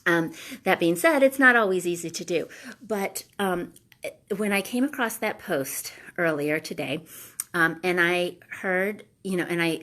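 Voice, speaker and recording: Low-voiced midwest lady, stuffed-up nasal voice, lots of ums, chatting live on her phone. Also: fairly bright tone. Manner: hesitant, many ums and restarts. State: congested, nasal from allergies. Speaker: live video host. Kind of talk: casual motivational talk.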